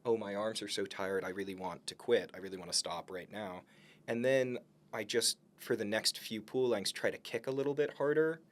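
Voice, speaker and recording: A man's voice: on the low side, steady tone, normal speed. A man co-hosting a podcast in casual conversation, speaking in an encouraging, reflective way. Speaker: podcast co-host. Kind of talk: casual conversation about self-improvement.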